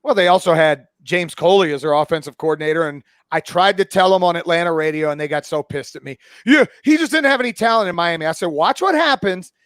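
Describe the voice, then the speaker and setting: A man's voice: on the high side, fast, few pauses, a bit bright, dry recording, clean studio sound. A man in his forties talking sports casually as a pundit, blunt and opinionated, swearing freely. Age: 40s. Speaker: college football pundit and podcast guest.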